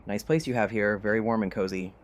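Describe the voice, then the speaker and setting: A woman's voice: very deep voice, fairly even intonation, fast. A woman playing a hostile, desperate survivor in an audio drama, confrontational and sardonic. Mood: sly, menacing. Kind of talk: full-cast audio drama acting.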